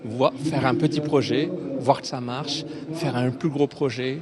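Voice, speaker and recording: A man's voice: mid-range voice, fairly even intonation, measured pace. A man giving a casual, spontaneous interview as an event participant, informal and repetitive. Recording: very loud crowd noise behind.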